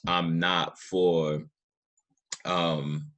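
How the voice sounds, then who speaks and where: Deep-voiced man, reflective and halting. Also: level tone, a bit bright. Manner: reflective, halting, with drawn-out phrases. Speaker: podcast host. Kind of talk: casual conversational discussion.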